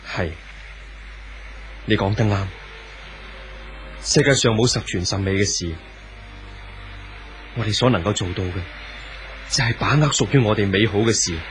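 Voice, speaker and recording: Actor as a low-voiced earnest young romantic man. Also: normal speed, long pauses, slight background noise, natural voice. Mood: tender, hopeful. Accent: Hong Kong Cantonese. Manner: solemn, declamatory, poetic. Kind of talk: 1970s Hong Kong radio drama acting.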